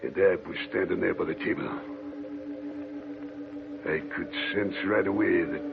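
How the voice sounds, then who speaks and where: An actor playing a jealous, violent desert prospector with a drawl in an old radio drama. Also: male voice, low voice, steady tone, measured pace, loud music behind the voice, exaggerated voice. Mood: brooding. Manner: rustic drawl, shouting threats. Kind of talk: 1940s radio acting. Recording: tinny old radio with clear hiss.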